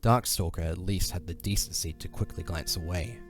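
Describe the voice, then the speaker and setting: A man narrating a fantasy audio drama in a solemn, measured, dramatic storytelling style. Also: low voice, soft background music.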